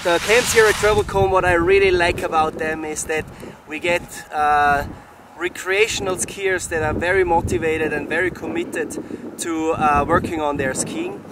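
A middle-aged man with a slightly high voice talks to camera as a coach and camp host. His delivery is halting and unscripted. Clear outdoor noise sits behind him on the open slope. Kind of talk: talk to camera, promotional recap. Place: outdoors on a snowy mountain slope. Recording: clearly noisy outdoor location sound.

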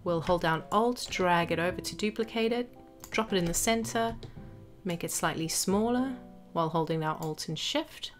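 Low-pitched woman narrating a tutorial over music. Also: normal speed. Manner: instructional, explaining each step as she goes. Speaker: graphic design tutorial instructor. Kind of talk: step-by-step software tutorial narration. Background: background music.

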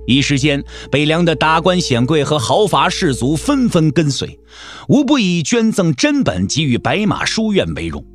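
Guy narrating wuxia audiobook, higher-ish voice, really lively intonation, quiet music behind. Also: moderate pace. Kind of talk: dramatic audiobook narration of a martial-arts novel. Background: soft background music.

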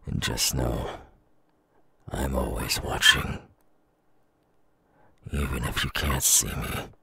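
A man playing a menacing, gloating man-eating demon in an ASMR audio roleplay, slow and sneering, with a gravelly growl that drops into breath. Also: very deep voice, bright, forceful tone, heavily acted. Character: menacing, gloating male demon who eats humans.

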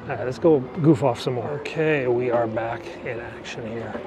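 A man's voice: mid-range voice, normal speed, a crowd in the background. A man casually narrating a bike ride through the city, rambling and correcting himself as he goes, filmed outdoors on an action camera. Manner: chatty, rambling, self-correcting. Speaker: vlogger. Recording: outdoor street and traffic noise. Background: traffic and city ambience.

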